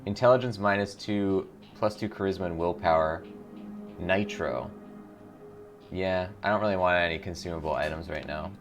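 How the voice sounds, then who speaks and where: A man's voice: sits low, moderate pace, soft background music. A man hosting a game playthrough, reading narration and adding his own commentary. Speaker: gaming channel host. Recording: clear background noise.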